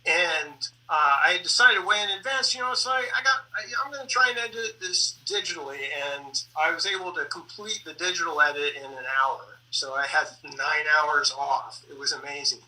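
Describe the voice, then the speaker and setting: A middle-aged man speaking conversationally as a sound designer, in halting phrases full of pauses and self-corrections. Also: on the high side, pushed and bright, recorded from a distance, poor audio. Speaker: sound designer and composer for radio plays. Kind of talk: conversational panel talk about theater production.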